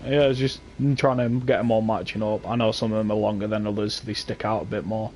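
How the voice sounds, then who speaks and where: A man's voice: lowish voice, steady tone, fast, darkish tone, slight background noise. A young man with an English accent, chatting casually and offhandedly with viewers while hosting a game stream. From England. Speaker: streamer hosting a car meet. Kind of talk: casual gaming stream chat.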